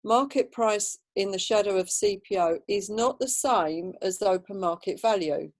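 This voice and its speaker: A woman's voice: medium pitch, normal speed. A woman giving an informal, rambling slide presentation on a video call. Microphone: video call microphone.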